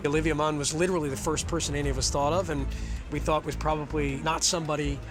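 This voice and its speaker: A man with a fairly high voice explains casting decisions in a measured way. Music plays clearly behind him. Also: little pitch movement. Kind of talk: behind-the-scenes interview.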